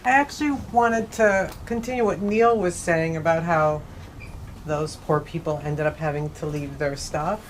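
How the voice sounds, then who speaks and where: Lowish-voiced woman asking from the audience. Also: normal speed. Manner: informal, explanatory, self-correcting. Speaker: audience member asking a question. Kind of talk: casual spoken question. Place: live event in a bookstore. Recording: faint room noise.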